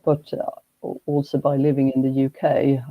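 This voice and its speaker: A man speaking at a mid pitch in an even, flat tone. He talks haltingly, with many restarts and fillers. The sound is dull and lo-fi, and the room is dry. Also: dry recording. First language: Swedish. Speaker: guest. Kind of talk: casual conversation.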